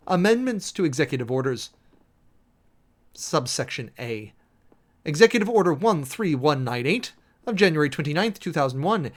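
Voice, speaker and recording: Guy reading official stuff out loud super dramatically, kinda high voice, really animated pitch. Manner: theatrical, emphatic, spells out punctuation and section headings. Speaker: streamer and host reading a document aloud. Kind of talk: dramatic reading of a legal document.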